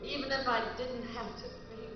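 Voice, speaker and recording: An actress playing a gracious, excitable woman nightclub singer in an effusive, old-time melodrama style. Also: high-pitched, moderate pace, distant mic, music in the background, natural voice. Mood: loving, eager. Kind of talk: old-time dramatic acting. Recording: muffled echoey playback in a hall, faint noise.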